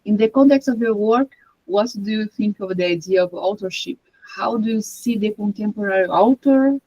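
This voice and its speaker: Portuguese-speaking moderator reading questions off a screen, lots of uhs, mid pitch. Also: moderate pace, dark tone, muffled recording. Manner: informal, reads questions aloud, hesitant with fillers.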